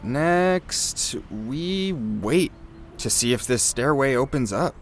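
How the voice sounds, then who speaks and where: A man's voice: mid-range voice, expressive, slow pace, faint music behind. A man playing a slangy, joking young sound man of a ghost show in an audio drama, casual and teasing. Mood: amused, doubtful. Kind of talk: horror audio drama acting.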